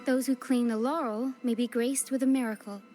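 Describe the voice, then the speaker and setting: A thoughtful young adventurer heroine from a video game, acted by a woman, speaks in a measured, earnest manner. Her voice is fairly high, with light music underneath. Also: fairly even intonation, mellow, lightly acted. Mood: resolute, earnest.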